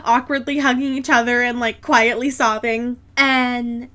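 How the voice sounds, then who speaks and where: A young adult woman co-hosting a podcast, recapping a book in a rambling, animated, comedic chat with theatrical asides. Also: a little high, unhurried. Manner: animated, rambling, theatrical retelling with mock quotes and frequent 'like'. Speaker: podcast co-host.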